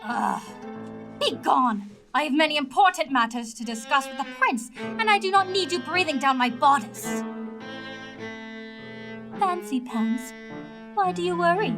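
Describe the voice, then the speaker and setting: A woman acts out a high-pitched pony prima donna from an audio drama. She is haughty and grandiose, switching between scorn and syrupy sweetness. Music plays clearly behind her. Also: expressive, a little slow, long pauses. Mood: scornful. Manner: theatrical, grandiose.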